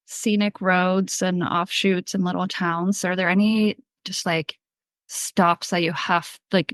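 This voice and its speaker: A woman from Sweden hosting a podcast interview, asking relaxed, chatty questions with a lot of "like". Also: fairly low pitch, gravelly, no room sound. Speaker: podcast host. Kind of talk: casual interview.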